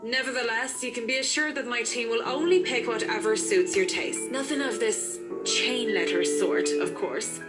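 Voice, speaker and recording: A woman's voice: on the high side, moderate pace, hardly any pauses, close to an everyday voice. A woman voicing a courteous, composed interior designer in a visual novel game, speaking as a reassuring professional. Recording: loud music behind the voice.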